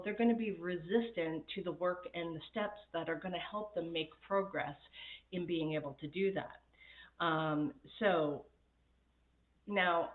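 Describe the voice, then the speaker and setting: Raspy-voiced woman on a tinny line. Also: fairly low pitch. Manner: chatty, laughs often, reads viewer comments. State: raspy from recent illness. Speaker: happiness coach. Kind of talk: casual live-stream talk. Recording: tinny phone-like sound in an echoey room.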